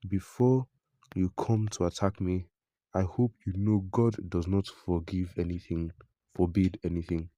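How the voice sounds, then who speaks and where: A man reads a news report in a low, mellow voice. His delivery is steady and scripted, recorded dry and close with no room sound. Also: a little slow, dry recording. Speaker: news narrator. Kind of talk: scripted news narration, reading out quotes and comments.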